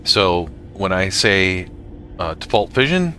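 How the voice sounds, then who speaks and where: A man narrating a casual software tutorial for gamers in a halting way, often pausing mid-sentence. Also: sits low, big pitch swings, slight background noise. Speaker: gamer making a tutorial video.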